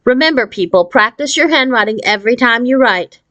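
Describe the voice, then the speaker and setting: A woman puts on a brisk storybook schoolteacher, her pitch lifted well up. She addresses her class with beaming, instructive authority. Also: animated, a bit bright, highs cut off. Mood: brisk instructing.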